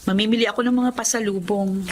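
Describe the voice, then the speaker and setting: A woman's voice: medium pitch, steady tone, quick pace, darkish tone, slight background noise, lightly acted. A woman playing a blunt, suspicious working daughter in a Filipino radio-style drama. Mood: busy, casual.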